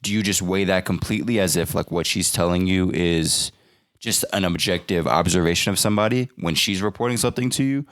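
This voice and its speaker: A man's voice: deep voice, a little fast, a bit bright, no room sound, studio quality. A man, co-host, asking questions in a casual, jokey way.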